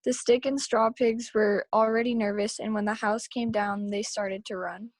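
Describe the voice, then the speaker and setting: A woman's voice: a little high, steady tone, moderate pace, no echo. A woman reading scripted story narration aloud at a table read over a video call.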